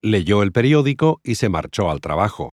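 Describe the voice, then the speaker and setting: A man reading scripted language lesson examples with careful, deliberate enunciation, as in a conjugation drill. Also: fairly low pitch, sing-song, darkish tone, no echo, clean studio sound.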